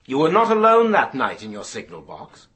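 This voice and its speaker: A stern inquiry official, emphatic intonation. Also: male voice, medium pitch, huge pitch swings, normal speed, fairly bright tone, slightly rough, full character voice. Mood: probing, insistent. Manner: formal, pressing, rhetorical. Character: stern, relentless official leading an accident inquiry. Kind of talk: formal radio drama courtroom-style acting.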